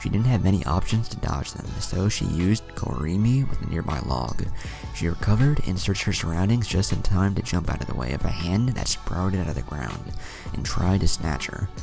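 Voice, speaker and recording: Very deep, gravelly male story narrator. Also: animated, moderate pace, over music. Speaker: fan fiction narrator. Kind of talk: scripted story narration, reading dialogue aloud.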